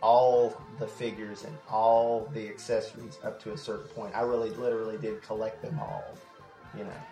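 A man's voice: fairly low pitch, little pitch movement, moderate pace, warm tone. A man chatting casually as a podcast co-host. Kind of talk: casual animated chat. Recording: roomy sound with faint music.

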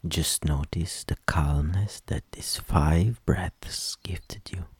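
A man leading a guided meditation in a soft, soothing voice with gentle instructions that trail into whispers. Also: deep voice, slightly rough, dry recording. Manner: slow, gentle instructions with long pauses.

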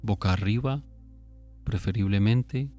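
Very slow, low-voiced man guiding sleep meditation. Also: faint music behind. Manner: calm, soothing, unhurried instructions with long pauses. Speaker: guided relaxation narrator.

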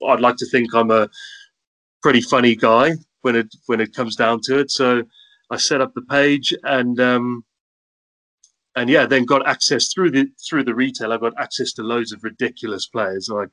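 A man's voice: mid-range voice, steady tone, moderate pace, fairly bright tone, low-quality recording. A man with a South African accent, a guest in a casual interview, cheerfully telling anecdotes about his work and life. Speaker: guest, content creator.

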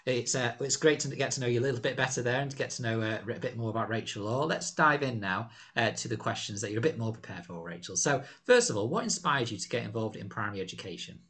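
A man's voice: mid-range voice, animated, fast, few pauses, fairly bright tone. A man hosting an education podcast, interviewing a guest encouragingly with frequent fillers. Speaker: podcast host.

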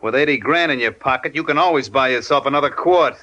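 An actor playing a suspicious, scheming American crook, hard-bitten and accusing, in 1940s radio style. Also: male voice, medium pitch, quick pace, sharp tone, muffled recording, close to an everyday voice. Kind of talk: 1940s radio crime drama acting.

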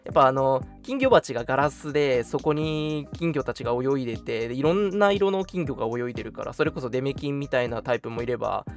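A young man hosting a casual radio chat in an animated, teasing manner. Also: mid-range voice, fast talker, few pauses, faint music behind. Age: young adult. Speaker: radio show host.

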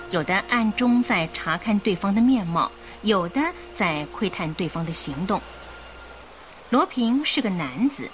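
A woman narrating a radio drama in an expressive, suspenseful storytelling style. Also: mid-range voice, low-quality recording. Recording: music bed behind the voice. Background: music.

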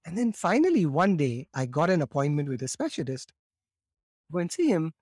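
A man in his fifties, raised in India, telling his story as a podcast guest in a lively way, often acting out quotes and repeating words as he starts. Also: fairly high pitch, brisk, dry recording, clean studio sound. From born in Morocco, raised in India. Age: 50s. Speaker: guest, laughter yoga teacher.

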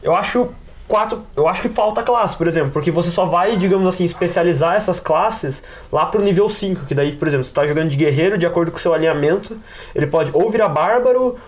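A man's voice: high-pitched, fast. A man with a Brazilian accent hosting a casual podcast about tabletop games, explaining at length with slangy asides. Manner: wordy, explanatory, peppers talk with slang and tags. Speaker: podcast host and game master.

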